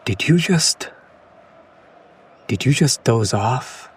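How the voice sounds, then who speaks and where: A man acting a slow, hushed, breathy yandere kidnapper. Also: mid-range voice, expressive, exaggerated voice. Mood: taken aback. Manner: hushed, condescending, lingering over names. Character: condescending yandere male kidnapper, smitten with his captive. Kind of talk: whispered ASMR roleplay, slow soft recitation.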